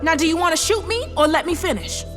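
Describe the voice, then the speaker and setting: A woman playing a sharp-tongued, defiant small-town scientist with a folksy, commanding manner in an audio drama. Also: extremely high pitch, fast talker, music in the background.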